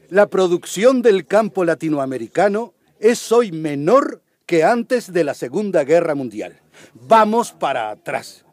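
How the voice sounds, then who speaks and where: An actor playing an impassioned advocate in a radio drama courtroom, denouncing injustice with emphatic oratory, rhetorical questions and repetition. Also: male voice, high voice, darkish tone, no room sound, full character voice. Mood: grave, urgent.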